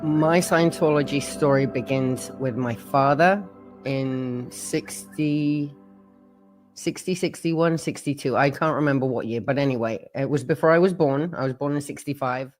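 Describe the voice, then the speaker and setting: A man's voice: medium pitch, a little slow, soft background music. A man in his sixties giving plain, frank personal testimony about his childhood. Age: 60s. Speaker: former member telling his story.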